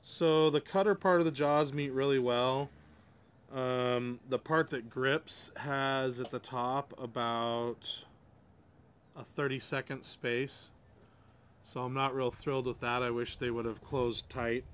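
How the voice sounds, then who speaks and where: A man's voice: medium pitch, fairly even intonation, slow pace, clear, resonant tone. Casual man rambling over a tool review. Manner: rambling, hesitant, thinking aloud with many ums. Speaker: DIY channel host. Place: outdoors at a table on a lawn.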